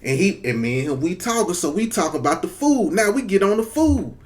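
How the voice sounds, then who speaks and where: A man's voice: high voice, brisk. Blunt man in his forties. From Mississippi, United States. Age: 40s. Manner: blunt, mocking, animated storytelling. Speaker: commentary host. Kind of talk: reaction commentary.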